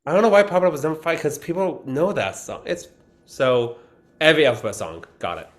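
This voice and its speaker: A man's voice: on the high side, expressive, a little fast. Man chatting eagerly as a podcast guest. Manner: thinks aloud, eager, musical fan. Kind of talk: casual chat.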